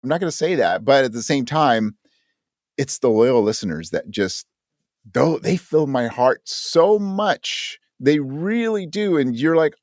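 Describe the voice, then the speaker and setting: A man's voice: mid-range voice, lively intonation, a little slow, darkish tone, no echo, clean studio sound. A man speaking as a podcast guest in a casual interview, earnest and emphatic, often acting out imagined remarks. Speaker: podcast guest and audio drama creator.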